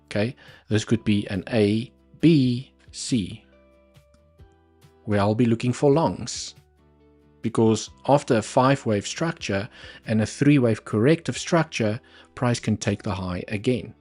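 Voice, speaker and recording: Low-voiced South African man thinking aloud. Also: measured pace, a little mellow, soft background music. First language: Afrikaans. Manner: thinking aloud, muttering asides. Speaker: livestream trading host. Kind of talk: live market analysis commentary.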